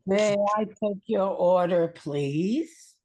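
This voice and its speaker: A performer doing a drive-through order taker on a broken speaker box in a comic script read. The words come out extremely slowly, drawn out and garbled. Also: medium pitch, darkish tone. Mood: garbled, formal.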